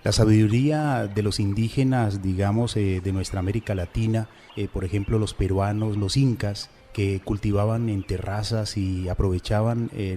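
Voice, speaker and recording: Low, mellow Colombian radio host. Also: male voice, slight background noise. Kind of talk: radio interview hosting.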